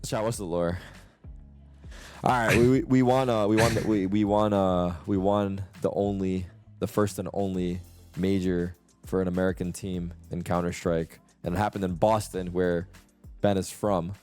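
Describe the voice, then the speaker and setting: Male streamer, very low voice, relaxed rambling delivery. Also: normal speed, faint music behind. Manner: laid-back, rambling with restarts. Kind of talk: casual chat.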